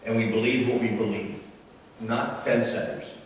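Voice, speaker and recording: A man's voice: medium pitch, steady tone, moderate pace, recorded from a distance, slight background noise. A man running for city council, giving a firm, earnest campaign speech at a public candidates meeting. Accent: Canadian. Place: large hall at a candidates meeting. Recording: echoey hall, mic from afar.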